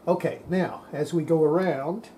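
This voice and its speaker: An older man giving an unscripted hands-on repair demonstration, halting and muttering to himself as he works at a bench. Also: a little high, dark tone, a little hiss or hum. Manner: halting, muttering to self while working. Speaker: radio repair hobbyist, presenter. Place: indoor workbench.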